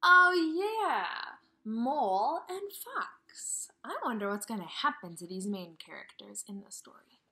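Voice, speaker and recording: Woman kindergarten teacher for a read-aloud: slow, bright, highly animated, addressing young children directly.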